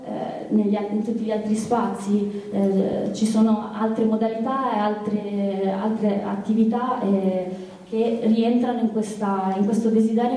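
Echoey, distant woman with very mellow voice. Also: mid-range voice. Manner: halting, repeats words while searching for phrasing. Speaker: activist speaker at a press conference. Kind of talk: political public speech, unscripted. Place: large indoor theatre. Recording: very echoey hall, distant microphone.

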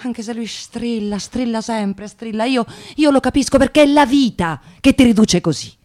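An actress playing a nervous, tired young unemployed woman in a radio monologue, halting and agitated, repeating her words. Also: fairly high pitch, darkish tone, natural voice. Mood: aggrieved. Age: young adult. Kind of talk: radio theatre dramatic monologue.

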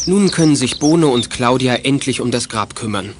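A man narrating a German children's detective audio drama as a calm, scripted storyteller. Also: mid-range voice, moderate pace, background noise, natural voice. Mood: involved.

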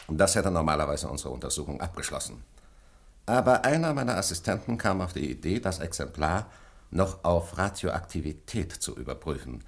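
A deep-voiced, husky male scientist in a radio drama. He explains his laboratory findings in formal, exact phrases, methodical and somewhat puzzled. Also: big pitch swings, brisk, full character voice. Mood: puzzled, emphatic. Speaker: laboratory analyst. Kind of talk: German radio play acting.

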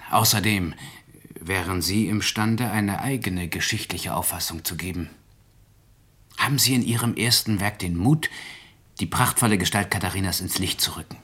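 An actor playing an earnest, idealistic poor young writer in a German radio play, articulate and declamatory, with a slightly husky voice. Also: male voice, lowish voice, a bit bright.

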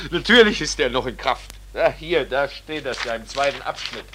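An actor playing a soft-hearted, agitated army lieutenant in a 1940s German radio play, flustered and stammering, full of exclamations. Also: male voice, mid-range voice, animated, normal speed, lightly acted. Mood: flustered, distressed. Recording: noisy old 1940s radio recording.

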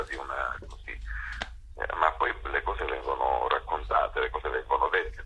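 A man with an extremely deep voice speaks as a radio guest. His tone is bright and sharp yet husky. He rambles with frequent restarts and fillers. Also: rough recording. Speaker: theatre author, director and actor as guest. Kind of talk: radio interview, discursive talk.

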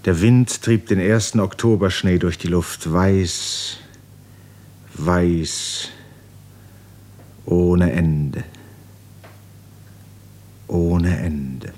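A grave male storyteller in a 1950s German radio play. He narrates a tragic novel with measured, literary weight, very slowly and in a fairly low register. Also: steady tone, darkish tone. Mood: bleak, solemn.

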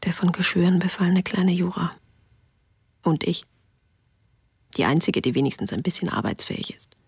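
A woman voicing an exhausted mother of two in a besieged city, reading her diary in a hushed whisper for a radio drama. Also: lowish voice, normal speed, gravelly, slightly rough, no echo, clean studio sound, full character voice. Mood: weary whisper.

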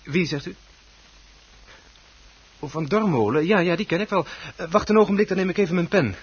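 An actor playing a businesslike reporter on the telephone in a radio drama, brisk, polite and noncommittal. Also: male voice, high-pitched, slight background noise, close to an everyday voice. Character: businesslike male newspaper reporter taking a phone call. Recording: dull, muffled old radio play recording.

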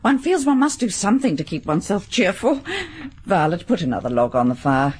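An actress playing a fussy, genteel middle-aged hostess in a BBC radio drama, gushing and mannered. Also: female voice, lowish voice, very animated, brisk, darkish tone, breathy edge. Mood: gracious. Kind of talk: 1940s-style BBC radio acting.

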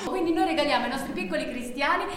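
An actress playing a bossy, cynical female warehouse manager in a stage play, brisk and commanding. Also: sits high, noisy background, natural voice. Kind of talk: stage play recorded for radio. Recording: very echoey stage recording.

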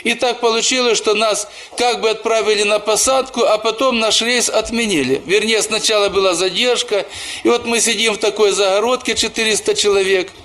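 A man's voice: sits high, fairly even intonation, fairly quick, fairly bright tone. A man who is a church pastor, preaching a sermon and telling personal stories, often calling the listeners brothers and sisters.

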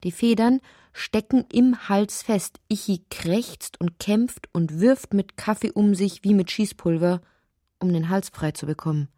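An actress narrating a strange, inward literary radio drama in deliberate, short emphatic phrases. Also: female voice, medium pitch, mellow, no echo, studio quality, natural voice. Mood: intense, absurd. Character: woman narrator of a strange inward dreamlike tale.

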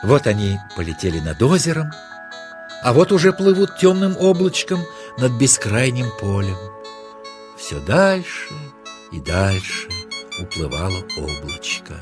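A man's voice: mid-range voice, big pitch swings, measured pace. A man narrating a nature story for children in a tender, dramatic storytelling style. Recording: music under the narration. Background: music.

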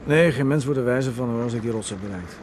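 A man's voice: medium pitch, fast talker, close to an everyday voice. An actor playing a wry, teasing older brother in his thirties, speaking in naturalistic radio play dialogue with a dry, mocking manner. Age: 30s. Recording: noisy old radio play recording.

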